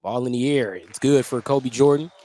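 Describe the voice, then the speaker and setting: A man's voice: medium pitch, moderate pace, warm tone, no echo. A man calling a live basketball game on the radio as the play-by-play announcer. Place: at courtside or press position in a gym during a game.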